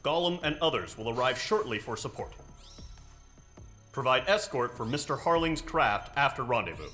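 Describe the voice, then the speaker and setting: A stern military officer, gives a game mission briefing in a mid-range voice. He is formal, measured and businesslike. Music sits underneath. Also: mid-range voice, lively intonation, pushed and bright. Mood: commanding.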